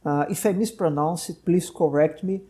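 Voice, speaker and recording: A man's voice: on the high side, normal speed, mellow. A man who is a doctor and podcast host, a native Portuguese speaker, talking at length and digressing with friendly laughs. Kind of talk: conversational podcast interview.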